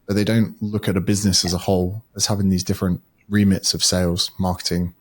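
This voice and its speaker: Male podcast guest, low husky voice, blunt and profane. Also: level tone, fast. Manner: blunt and swears freely. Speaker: guest, educator and author. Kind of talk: casual podcast conversation.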